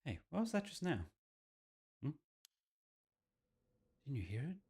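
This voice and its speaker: A man's voice: on the high side, big pitch swings, unhurried, a little mellow, breathy edge, voice pushed up, full character voice. A man reading out the dialogue of teasing visual novel characters who chat about spirits, with game music behind. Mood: playful, questioning.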